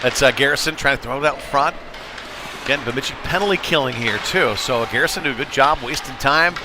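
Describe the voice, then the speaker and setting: A man's voice: mid-range voice, brisk. A man doing live play-by-play of youth ice hockey in a conversational way, with filler words and casual asides. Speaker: play-by-play sports commentator. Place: indoor ice rink. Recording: noisy live rink broadcast.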